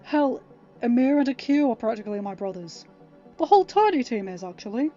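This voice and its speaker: A woman voicing an earnest, protective young king, speaking gently but emphatically to reassure someone in a story. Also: on the high side, sing-song, a little fast, dull audio, faint music behind, voice pushed up. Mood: earnest, persuasive.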